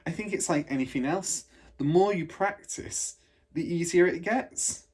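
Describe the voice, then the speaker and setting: A man voicing an eager, inquisitive, excitable young schoolgirl from a children's storybook, in a breathless manner. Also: on the high side, a little slow, husky, pitched above the performer's own voice. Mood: eager, confiding. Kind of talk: children's storybook read aloud.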